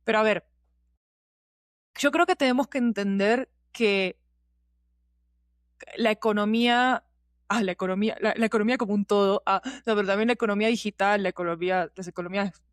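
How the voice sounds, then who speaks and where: Female podcast guest, mid-pitched, chatty and explanatory with frequent tangents, dry studio-close sound. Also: brisk, pauses often, no echo. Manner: chatty, digressive, checking in with listener. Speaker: podcast guest, producer and teacher. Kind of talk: casual explanatory conversation.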